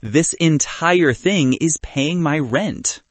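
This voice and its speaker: A man voicing an exasperated female professional bridesmaid with a firm, businesslike, sharp-tongued manner in an audiobook. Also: fairly high pitch, slow, no room sound, pitched above the performer's own voice. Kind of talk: audiobook character dialogue.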